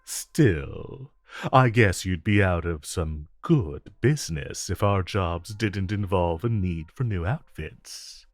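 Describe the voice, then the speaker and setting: A man playing a suave, flirtatious supervillain in a romantic audio roleplay, talking in a smooth, teasing, unhurried way with drawn-out pauses. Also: deep voice, lively intonation, no echo, faint music behind. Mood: reluctant, wry. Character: suave, flirtatious male supervillain visiting his tailor. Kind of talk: romantic audio roleplay acting addressed to the listener.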